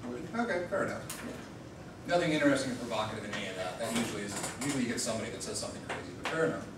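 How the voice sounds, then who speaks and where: Fast-talking young man lecturing in echoey room. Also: lowish voice, animated, recorded from a distance. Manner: joking, rapid-fire, quizzes students. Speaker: debate theory lecturer. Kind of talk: informal classroom lecture. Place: classroom. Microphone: far room mic. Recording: echoey room recorded from afar with noise.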